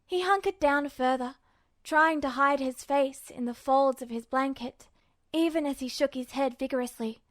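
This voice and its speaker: A woman with a high voice narrates fiction from a script. The recording is very dry, with the sound of a story read aloud for listeners. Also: normal speed, a bit bright, no room sound. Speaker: podfic narrator.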